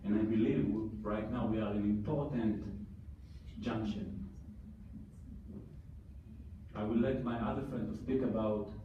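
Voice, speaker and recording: Echoey, distant Israeli man, earnest and halting. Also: lowish voice, fairly even intonation, slight background noise. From southern Israel. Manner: earnest, halting, with many fillers and restarts. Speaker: activist speaker. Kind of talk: prepared testimony at a parliamentary hearing. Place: large parliamentary hearing room. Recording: distant, echoey, muffled room recording.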